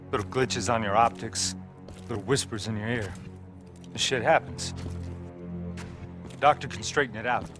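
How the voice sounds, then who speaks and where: A man's voice: mid-range voice, natural voice. A man playing a brash but brotherly soldier squadmate in a video game, talking casually with slang and swearing. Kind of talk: video game cinematic acting. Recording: prominent music behind the voice.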